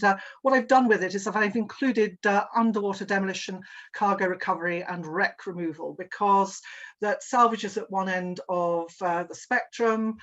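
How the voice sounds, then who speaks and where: A middle-aged woman giving an online presentation, halting and full of fillers and restarts. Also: mid-range voice, a bit bright. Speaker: webinar presenter. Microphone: video call.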